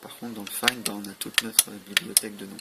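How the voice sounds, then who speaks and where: A man walks through a product in a matter-of-fact way. His voice is low and gravelly, with very little melody. The recording is noisy, with clicks. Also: flat delivery, brisk. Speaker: product demonstrator. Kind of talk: informal hands-on product demonstration.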